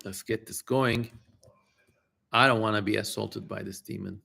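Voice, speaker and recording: A man's voice: lowish voice, steady tone, normal speed, creaky, bright, forceful tone, husky. A man hosting a livestream dramatic reading, switching between theatrical recital and jokey, informal asides. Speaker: streamer, host.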